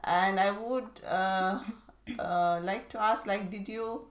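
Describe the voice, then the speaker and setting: A woman's voice: mid-range voice, steady tone, slow pace, echoey room. A woman interviewing a guest in a friendly way, asking questions and giving brief encouraging remarks. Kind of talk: conversational interview.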